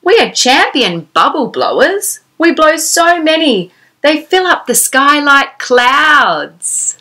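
Bright, animated woman storyteller for children. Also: sits high, slow pace. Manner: exclamatory, sing-song, asking the child questions. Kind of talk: animated read-aloud storytelling for young children. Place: small decorated room indoors.

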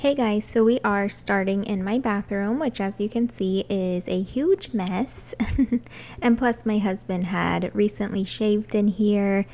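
A woman's voice: mid-range voice, measured pace, darkish tone, faint music behind. A woman in her thirties from Florida, chatting cheerfully through a cleaning vlog and answering viewer questions, filmed on a phone in her home bathroom. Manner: cheerful, chatty, laughs often. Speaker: vlogger. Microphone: phone camera across the room.

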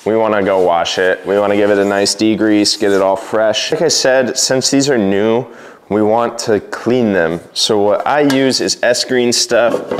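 A young man with a lowish voice walks through his screen printing prep in a casual vlog. He talks easily and explains each step as he goes, clipped with a lapel mic in a large workshop. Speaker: screen printing shop owner. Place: large workshop with wooden floors.

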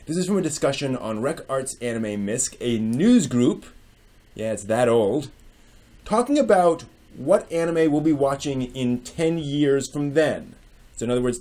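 Animated middle-aged man, swinging emphatic delivery. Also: mid-range voice. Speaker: host. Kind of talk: dramatic reading and casual commentary. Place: small furnished room at home with bookshelves.

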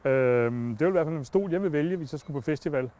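An older man giving a plain, measured product review on a campsite lawn, speaking into a lapel mic. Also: on the high side, dark tone. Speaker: product tester.

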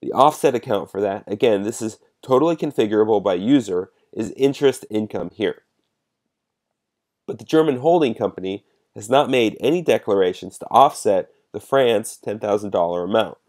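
A man giving a measured, explanatory step-by-step narration as a software demonstrator. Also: on the low side, animated.

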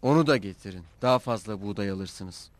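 A man playing a composed, authoritative young governor in a cartoon dub, with a measured manner. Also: on the low side, no room sound, natural voice.

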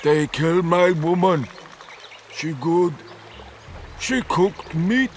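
Video game troll: drunk, simple-minded, very slow delivery, broken phrasing, faint noise behind. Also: male voice, a little high. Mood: drunk, mournful. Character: drunken, grieving male troll guarding a bridge. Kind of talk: video game character acting.

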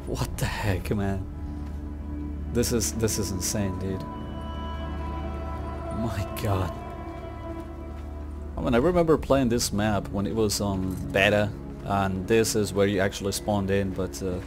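A man's voice: on the low side, unhurried, long pauses. A young man giving casual gameplay commentary in slangy language, muttering to himself as he plays. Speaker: gamer, video creator. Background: video game music and sounds.

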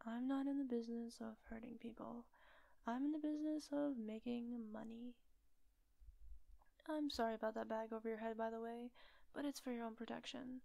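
A teasing female kidnapper acted by a woman in an intimate audio roleplay. Her voice is fairly high but mellow, with a gravelly creak under the soft whisper. She sounds smug and coaxing, calmly in control. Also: moderate pace, no room sound. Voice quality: soft, breathy, often whispery. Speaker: voice actor.

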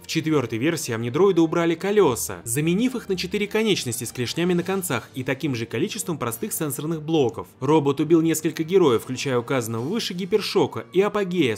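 A man reading a scripted explainer narration as the narrator of a video. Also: a little high. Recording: music playing behind the voice. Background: background music.

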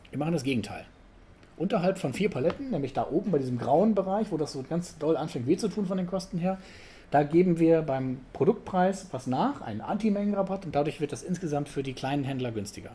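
A middle-aged man giving a casual, conversational talk to an audience at an event, often ending points with a quick tag question. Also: a little high, slight background noise. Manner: conversational, frequent tag questions, animated emphasis. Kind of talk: informal conference talk.

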